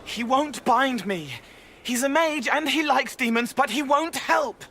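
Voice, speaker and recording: An actor playing a young male spirit in human form, talking in halting, broken-up poetic phrases. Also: very high-pitched, pushed and bright, a little hiss or hum, full character voice. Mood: insistent.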